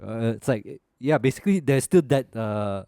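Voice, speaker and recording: A young Malaysian man chatting on a podcast, easygoing and opinionated, tagging "lah" onto his points. His voice sits at an ordinary middle pitch and sounds close and dry, with no room echo at all. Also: moderate pace, creaky. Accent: Malaysian English. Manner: relaxed and opinionated, ends phrases with "lah". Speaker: podcast co-host. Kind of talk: casual podcast chat.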